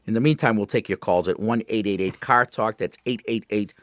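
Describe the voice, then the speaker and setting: A man's voice: fairly low pitch, brisk, no echo. A man co-hosting a radio call-in show, cracking jokes in casual banter. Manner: wisecracking, deadpan jokes. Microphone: studio mic.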